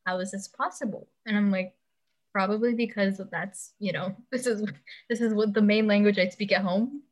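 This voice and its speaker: A woman's voice: mid-range voice, fairly even intonation, moderate pace. A young woman of Pakistani family raised in the United States, talking candidly and thinking aloud in a casual conversation. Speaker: conversation partner. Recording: muffled, dull sound.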